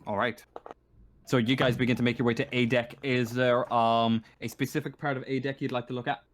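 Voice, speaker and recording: A man speaking casually as a game master, narrating a tabletop game in a halting, hesitant way. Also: medium pitch, little pitch movement, a little hiss or hum.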